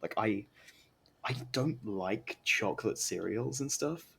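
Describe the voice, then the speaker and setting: A man's voice: fairly low pitch, animated, slow, gravelly, fairly bright tone, a little hiss or hum. A man doing casual live gameplay commentary as a streamer, rambling and informal with slangy phrasing. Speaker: game streamer.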